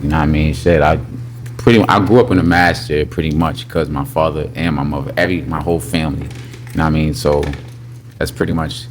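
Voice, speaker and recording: Very deep-voiced man, laid-back. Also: fairly quick. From Brooklyn, New York. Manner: laid-back street slang, lots of "you know what I mean". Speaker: podcast guest. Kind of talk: casual chat. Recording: noisy with steady hum.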